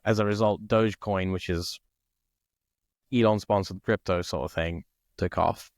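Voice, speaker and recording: A man from Italy co-hosting a podcast, chatting casually and thinking out loud, often checking in with "right?". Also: sits low, fairly even intonation, normal speed, no room sound, clean studio sound. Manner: thinks aloud, peppers speech with "like" and "right?". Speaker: podcast co-host. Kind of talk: casual conversation.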